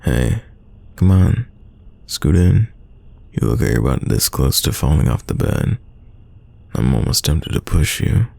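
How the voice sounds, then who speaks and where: Man acting a very deep, gravelly teasing crush. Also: very animated, a little slow, long pauses, heavily acted. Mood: playful teasing. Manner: playful, teasing, soft close delivery. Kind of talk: romantic roleplay audio, intimate one-sided dialogue.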